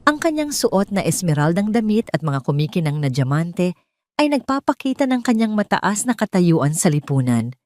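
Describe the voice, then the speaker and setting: A woman's voice: fairly low pitch, darkish tone. A woman with a Filipino accent reading a scripted dramatic story as its narrator.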